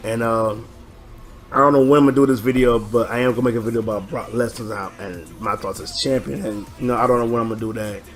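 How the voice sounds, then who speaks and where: A hoarse man hosting his own channel, rambling through a casual reaction video with many halting restarts. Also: lowish voice, fairly even intonation. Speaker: channel host.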